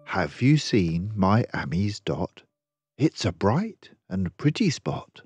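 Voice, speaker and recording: Slow-paced older man reading to children. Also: fairly low pitch, big pitch swings, husky. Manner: measured, deliberate, rhyming delivery. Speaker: storyteller reading a children's picture book. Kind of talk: expressive scripted read-aloud for children.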